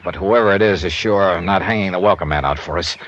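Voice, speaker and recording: A man's voice: low-pitched, quick pace, fairly bright tone, lo-fi sound, slight background noise. An actor playing a tough, wry male investigator in a hard-boiled old-time radio drama, with clipped, commanding delivery. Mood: tense, commanding.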